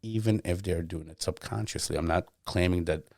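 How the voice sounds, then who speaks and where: A man's voice: sits low, brisk, creaky, darkish tone, no room sound, studio quality. A man hosting a podcast, talking thoughtfully in casual conversation and often searching for words. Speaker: podcast host.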